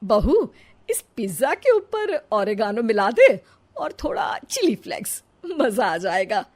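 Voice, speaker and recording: A woman's voice: fairly high pitch, very animated, takes it slowly, a little mellow, heavily acted. A woman voicing a cheerful, bossy middle-aged village mother-in-law with modern ways in a cartoon story, teasing and exclamatory.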